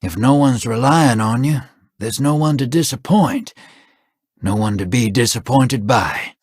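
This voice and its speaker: A man's voice: medium pitch, animated, measured pace, breathy edge, no room sound, studio quality. An actor playing a wry, roguish, world-weary male drifter in a video game, with a drawling, sardonic delivery.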